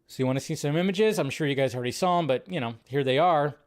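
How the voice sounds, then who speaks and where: A man's voice: fairly high pitch, fast talker. A man hosting a live movie news stream, talking casually in a loose, rambling way with lots of fillers.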